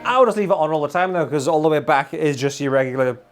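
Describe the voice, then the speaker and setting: A man's voice: fairly high pitch, lively intonation, quick pace. A man in his thirties with a British accent, giving a chatty, enthusiastic, informal gear demonstration. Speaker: guitar gear reviewer. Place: small home studio full of guitars and amps. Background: electric guitar playing.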